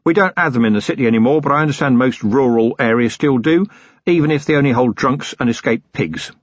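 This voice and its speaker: Man playing a wry policeman, middle-aged, measured, dull dry sound. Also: medium pitch, no echo, voice pushed up, natural voice. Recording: dry, slightly dull recording.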